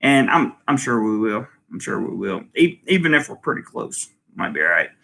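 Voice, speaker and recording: Male stream host, bright and forceful, loose and rambling as he answers comments. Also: fairly low pitch, very animated, moderate pace, creaky. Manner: rambling, lots of ums and restarts. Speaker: channel host. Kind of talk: casual livestream, reading viewer comments. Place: home in Thailand.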